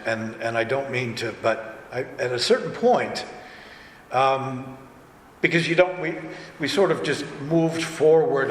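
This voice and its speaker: A man speaking casually as an audio drama director on a panel, telling stories in an animated way and acting out quoted remarks. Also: medium pitch. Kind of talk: casual panel talk with anecdotes. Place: large indoor convention panel room. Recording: echoey convention hall with background noise.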